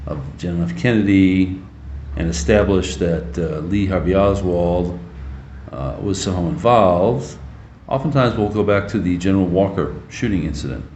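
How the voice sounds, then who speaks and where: A man hosting a talk show with casual commentary, speaking haltingly and checking in with listeners in folksy asides. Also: deep voice, darkish tone, lo-fi sound, a little hiss or hum. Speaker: host. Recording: roomy, echoing sound.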